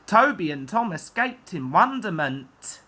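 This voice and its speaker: A woman storyteller reading a children's tale aloud in an expressive narrating style. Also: on the low side, measured pace, slightly rough.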